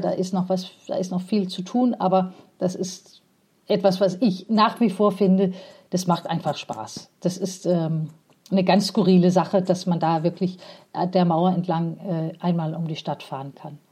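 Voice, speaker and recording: Woman guest, warm and dark in tone, hesitant but outspoken interview answers. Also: mid-range voice, husky. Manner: thoughtful, hesitant, many fillers, frank. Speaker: guest, cycling and city mobility advocate.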